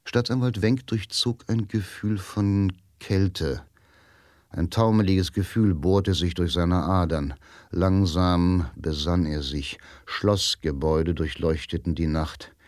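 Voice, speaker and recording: Male narrator for radio drama: deep, unhurried, deliberate clipped phrasing over clear music. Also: darkish tone, dry recording, studio quality. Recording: music behind the voice.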